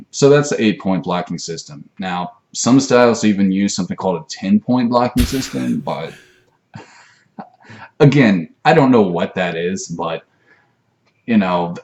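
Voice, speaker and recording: Male, very low register. Amateur tutorial host, unscripted, hesitant and self-effacing. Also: darkish tone. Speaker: amateur martial arts video maker. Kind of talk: rambling informal tutorial to camera. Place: messy room at home.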